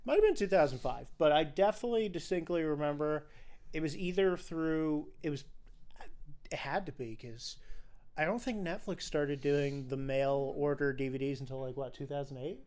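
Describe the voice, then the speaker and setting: A man's voice: on the high side, moderate pace, darkish tone. A young man hosting a casual film podcast, talking in an enthusiastic, rambling way. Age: young adult. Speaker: podcast host.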